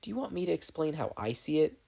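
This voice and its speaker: Young warrior woman for audio fan fiction, acted by a narrator: mellow, firm, reassuring, fiercely protective. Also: mid-range voice, sing-song, fast talker, creaky, slightly rough, pitched above the performer's own voice, full character voice. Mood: steady, caring.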